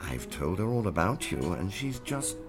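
A man's voice: deep voice, big pitch swings, moderate pace, over music. An actor playing a flamboyant male socialite who teases and gushes theatrically. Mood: gushing. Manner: gushing, theatrical, teasing. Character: flamboyant middle-aged male socialite matchmaker.

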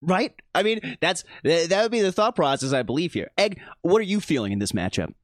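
Male podcast host, casual and slangy, animated delivery, dry close sound. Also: high voice, fairly quick, no echo. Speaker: host of a sports betting show. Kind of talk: casual panel chat, introducing co-hosts.

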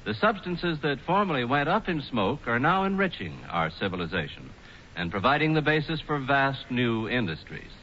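A dull, faintly noisy vintage radio recording of an American man. His bright, forceful voice delivers a 1940s sponsor message with emphatic, declamatory narration. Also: mid-range voice, very animated, moderate pace. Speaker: commercial spokesman.

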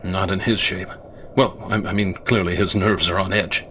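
An actor playing a pragmatic geology professor in a radio drama, matter-of-fact and slightly halting. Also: male voice, deep voice, steady tone, sharp tone, a little hiss or hum. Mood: practical, concerned. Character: pragmatic, level-headed male geology professor.

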